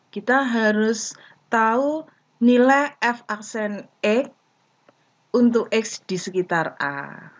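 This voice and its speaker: Slow, deliberate woman teaching math. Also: on the high side. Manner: deliberate, breaks sentences into short phrases. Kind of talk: slow step-by-step math lesson. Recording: dull lo-fi recording.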